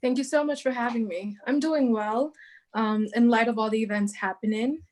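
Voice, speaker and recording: A woman's voice: on the high side, moderate pace, darkish tone. A young woman, answering interview questions with light self-deprecating humor. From Zambia. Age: young adult. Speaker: guest entrepreneur.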